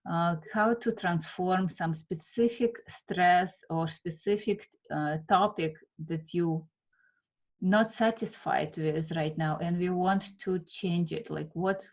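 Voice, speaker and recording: A woman from Siberia with a Russian accent, introducing an art class in a halting, unhurried way with many fillers. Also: fairly low pitch, a little mellow, lo-fi sound. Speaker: art instructor.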